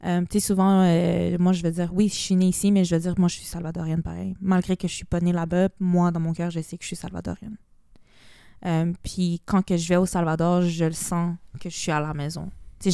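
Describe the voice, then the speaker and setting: Québécois woman, smooth casual chat. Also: fairly low pitch, little pitch movement, fairly quick, mellow, dry recording, studio quality. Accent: Québécois French. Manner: chatty, mixes English phrases, many restarts. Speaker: podcast guest. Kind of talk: casual podcast conversation.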